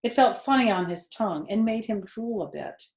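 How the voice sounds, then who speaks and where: A woman's voice: a little high, moderate pace, darkish tone. Echoey woman storyteller reading to children. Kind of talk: expressive read-aloud storytelling for children. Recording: roomy indoor echo.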